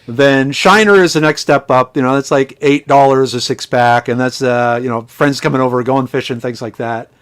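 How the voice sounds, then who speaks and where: A man's voice: mid-range voice, steady tone, moderate pace, few pauses, clean tone. A middle-aged man from Minnesota, a podcast guest who tells stories in a chatty way, with many restarts, over a remote call. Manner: chatty storyteller with frequent restarts. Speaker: podcast guest, chemist and foraging instructor. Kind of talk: casual podcast conversation.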